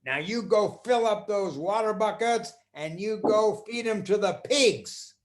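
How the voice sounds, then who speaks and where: A domineering circus magician in a storybook tale, loud and commanding, as a man does the voice. He speaks slowly and leans on every order. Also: high voice, expressive, voice pushed up. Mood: bossy. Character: bossy, gruff male circus magician.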